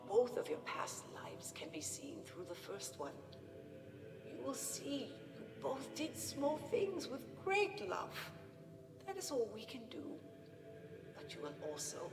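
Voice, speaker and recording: An actress playing a serene elderly nun saint in a radio play, speaking with solemn, prayerful consolation and a breathy hush. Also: female voice, medium pitch. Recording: distant, echoey hall under loud music.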